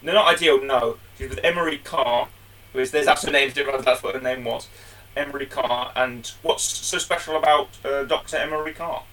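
A man's voice: mid-range voice, moderate pace, bright, forceful tone, far from the mic, rough recording. A man co-hosting a casual review podcast, chatty and jokey, often calling his co-host by name.

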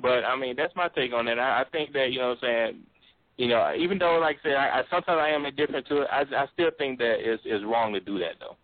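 A man with a baritone voice talks casually and emphatically on a call-in talk show. He laughs often and keeps saying "you know what I'm saying". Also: fast, dry recording. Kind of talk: casual opinionated chat. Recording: thin phone-line sound.

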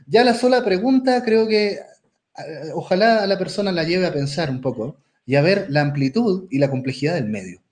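Blunt, opinionated man, higher voice. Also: expressive, moderate pace. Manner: blunt, opinionated, restarts words. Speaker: podcast co-host. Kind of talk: casual discussion.

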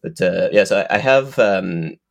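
A man's voice: deep voice, lively intonation, normal speed, gravelly. A man with a stuffed-up head cold co-hosting a casual film and TV podcast, talking in a rambling, stop-start way with repeats. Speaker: podcast co-host.

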